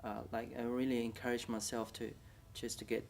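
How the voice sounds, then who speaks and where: A man with a Vietnamese accent recalling his life in halting, second-language English, with frequent restarts. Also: mid-range voice, level tone, vocal fry, darkish tone, a little hiss or hum. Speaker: interviewee. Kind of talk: casual recollection.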